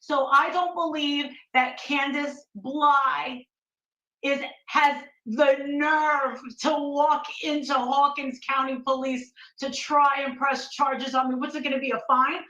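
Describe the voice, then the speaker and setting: A woman talks informally in a combative, defiant way. Her voice is high and sharp. The recording is echoey and slightly muffled. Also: fairly even intonation, slow pace. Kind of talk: informal spoken remarks in a played clip. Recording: dull, echoey clip audio.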